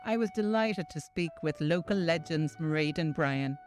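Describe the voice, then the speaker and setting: An Irish woman hosting a travel show, giving bright, enthusiastic scripted narration. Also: sits low, lively intonation, moderate pace. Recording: voice-over with music behind.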